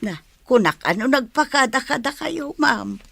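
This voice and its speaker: A woman's voice: high-pitched, expressive, moderate pace, creaky, fairly bright tone, full character voice. A woman playing a cheeky household maid who talks back plainly and defiantly in an audio drama.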